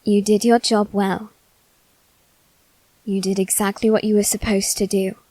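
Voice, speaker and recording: A woman plays a proud, self-loathing young nobleman, a boy with a medium-pitched voice in an ASMR character drama. He speaks slowly and haltingly, with formal, theatrical phrasing. The sound is dry and free of room echo. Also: little pitch movement, a little mellow, natural voice. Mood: wistful, tender. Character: proud, wounded, self-loathing aristocratic boy facing his demon.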